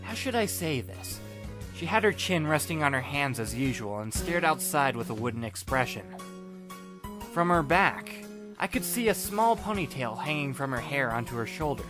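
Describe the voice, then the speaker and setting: A man reading first-person story narration in an expressive, wry, self-deprecating and dramatic way. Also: mid-range voice, normal speed. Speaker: audiobook narrator playing the first-person lead. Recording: music bed behind the voice. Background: background music.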